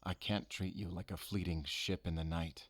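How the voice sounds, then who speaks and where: A man playing a brooding, guarded werewolf hunter in an audio roleplay, speaking in a solemn, halting, intimate way to the listener. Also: deep voice, flat delivery, a little hiss or hum, lightly acted. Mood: torn, pained. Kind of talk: cinematic audio roleplay acting.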